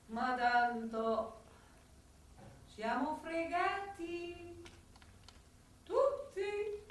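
An actress playing a bitter, driven noir writer haunted by death, in a dramatic radio monologue with halting pauses and sudden swells. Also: female voice, high-pitched. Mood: muttering, resigned, then brooding, urgent. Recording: echoey, distant stage recording with music.

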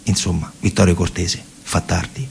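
A man's voice: deep voice, flat delivery, moderate pace, vocal fry, slight background noise. A man telling wartime history as radio theatre, in short, dramatic, repeated phrases. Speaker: stage actor and storyteller.

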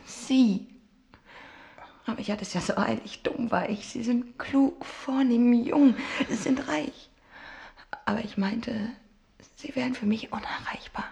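Tearful young bride, high voice with creaky edges, whispery, regretful, intimate radio drama. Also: female voice, a little slow, long pauses, dark tone, a little hiss or hum. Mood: pleading, lovesick. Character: distraught young bride, naive, regretful and lovesick. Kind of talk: intimate radio play acting.

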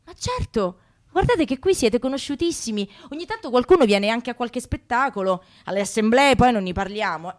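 A woman's voice: a little high, animated, moderate pace, lightly acted. A woman playing a gushing, helpful receptionist among the damned in a radio comedy sketch. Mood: gushing, friendly. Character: chatty, helpful female receptionist of the damned lustful.

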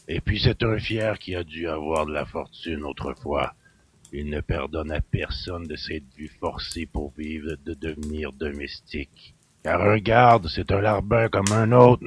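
Surly middle-aged innkeeper played by a male narrator, low, slow, grumbling in rustic speech, for an audiobook. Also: exaggerated voice. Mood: resentful, scornful. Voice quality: gravelly, growling.

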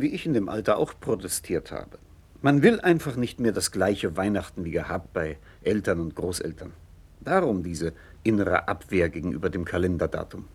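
An actor playing a thoughtful, conciliatory middle-aged father in a radio play, speaking in a measured, reflective way. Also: low-pitched, husky, full character voice. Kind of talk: late 1960s German radio play acting, narration and dialogue.

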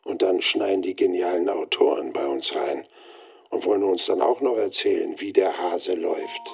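An actor playing a world-weary radio veteran looking back in a wry, grumbling monologue for a radio play. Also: male voice, low voice, moderate pace, creaky, a little mellow, breathy edge, heavily acted.

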